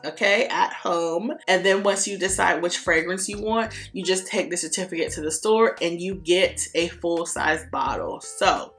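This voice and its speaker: A woman vlogger with a low, raspy voice, chatting enthusiastically through beauty product recommendations. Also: normal speed, hardly any pauses, fairly bright tone, soft background music.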